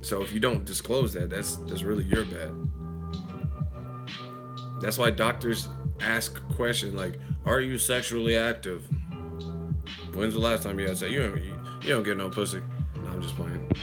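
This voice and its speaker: Hoarse man rambling on a live stream. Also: fairly low pitch, moderate pace, long pauses, over music. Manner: rambling, loose and profane, with many fillers like "you know what I mean". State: hoarse, losing his voice. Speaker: boxing commentary host on a live stream. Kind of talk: casual live-stream commentary.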